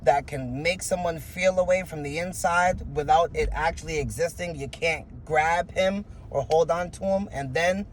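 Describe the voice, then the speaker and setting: A man's voice: fairly high pitch, normal speed, few pauses, slight background noise. A man in his thirties with a froggy voice, talking frankly in an interview and rambling with many filler words. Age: 30s. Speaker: interview guest, rapper. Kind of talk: candid interview answers.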